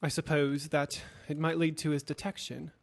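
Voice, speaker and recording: A man acting a cautious, concerned gentleman lawyer in an audio drama, formal and questioning. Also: fairly high pitch, little pitch movement, moderate pace, vocal fry. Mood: doubtful, concerned.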